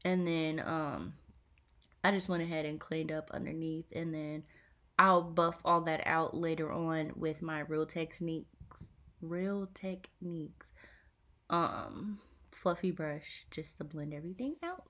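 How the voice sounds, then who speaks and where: A young woman talking casually through a makeup tutorial, relaxed and chatty with many fillers, in a small bedroom on a device mic. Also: low voice, a little slow. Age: young adult. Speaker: beauty vlogger.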